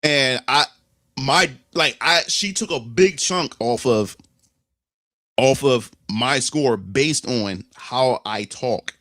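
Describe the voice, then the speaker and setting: A young adult man with a Philadelphia accent, telling a story in a casual, animated monologue, swearing freely and often restarting his phrases. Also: mid-range voice, takes it slowly, no room sound, studio quality. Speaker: streamer.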